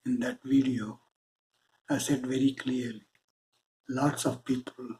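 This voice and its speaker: A man with an Indian English accent, giving a slow, earnest spiritual monologue with deliberate pauses. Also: medium pitch, steady tone, mellow, poor audio. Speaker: spiritual channel host.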